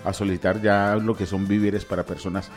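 Low-voiced man on radio. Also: level tone, a little fast, darkish tone. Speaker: radio co-host. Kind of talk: conversational live radio commentary. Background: music behind the voice.

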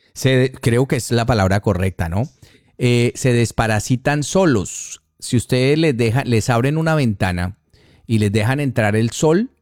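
A man hosting a live radio talk show, conversational, repeating details slowly and deliberately. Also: fairly low pitch, darkish tone, no echo, clean studio sound. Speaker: radio host. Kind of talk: live radio talk with read-out sponsor spots. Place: radio studio.

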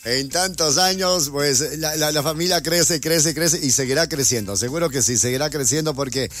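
A man's voice: on the high side, normal speed, fairly bright tone, faint music behind. A man hosting live radio, reading out sponsor promotions in a courteous, agreeable manner and often repeating his phrases. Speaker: radio host.

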